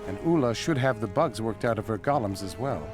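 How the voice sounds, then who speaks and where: A man playing an excitable, brusque inventor in a fantasy game, speaking emphatically. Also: fairly low pitch, fairly quick, close to an everyday voice. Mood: urgent, intent. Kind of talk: dramatic fantasy game acting. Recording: music behind the voice.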